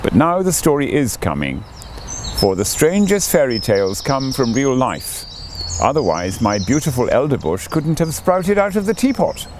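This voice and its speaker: A wise and kindly elder tree mother from a fairy tale, read by a man, speaks with storytelling assurance. The pitch rises and dips widely, with noise in the background. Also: very animated, moderate pace, natural voice. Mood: assured, mysterious.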